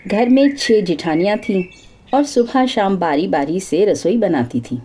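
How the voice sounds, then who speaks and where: A woman narrates a traditional tale as a storyteller. Her voice is mellow and soft-edged, at an ordinary middle pitch for a woman. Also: expressive, measured pace, slightly rough. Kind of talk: scripted folk-tale narration.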